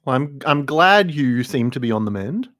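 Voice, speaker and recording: Aussie bloke cracking dry jokes on a podcast, mid pitch, dead dry mic. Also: male voice, animated, moderate pace, fairly bright tone, no echo. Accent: Australian. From Australia. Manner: wry, joking. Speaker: podcast co-host. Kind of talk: casual chat.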